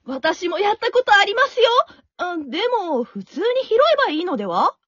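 A woman as a lively, polite show assistant. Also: extremely high pitch, normal speed, no room sound, lo-fi sound, heavily acted. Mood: curious. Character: polite female show assistant, straight man.

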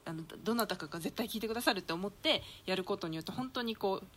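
A woman's voice: mid-range voice, racing, slight background noise. A woman hosting a radio show, chatting casually with her guests and giving announcements in an encouraging, chatty way. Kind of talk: casual round-table chat with announcements.

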